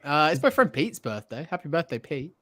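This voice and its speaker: A man's voice: on the high side, very animated, brisk, fairly bright tone, no echo, studio quality. A man co-hosting a podcast, chatting casually and conversationally. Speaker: podcast co-host. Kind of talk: casual chat.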